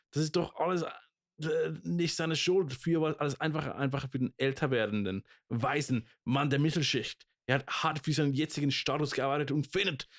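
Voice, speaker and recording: A Swiss German man in his twenties, co-hosting a podcast in casual chat, stumbling over words with many fillers and laughing often. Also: on the high side. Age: 20s. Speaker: podcast co-host.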